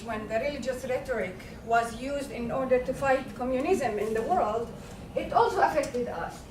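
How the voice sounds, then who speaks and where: A woman from Palestine speaking firmly and loudly as a conference panelist. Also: high voice. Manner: firm, declarative, loud voice. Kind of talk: conference presentation. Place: conference hall. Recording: echoey room, far mic, noisy.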